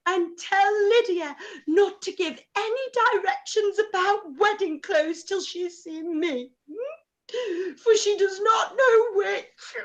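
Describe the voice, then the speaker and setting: A woman's voice: very high-pitched, slow, lo-fi sound. A woman playing a hysterical, self-pitying middle-aged mother in a period radio play, wailing and gasping melodramatically. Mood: tearful fretting. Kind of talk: live-read radio play acting of period drama.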